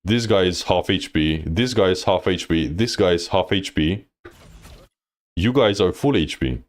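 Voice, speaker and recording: A man's voice: low voice, lively intonation, unhurried. A man coaching on a live stream, reviewing gameplay bluntly with lots of fillers. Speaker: game coach.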